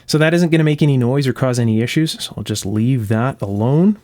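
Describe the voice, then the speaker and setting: A man's voice: medium pitch, fairly quick, a little mellow, no echo. A man giving hands-on instructional commentary in a conversational, step-by-step way, as host of a repair show.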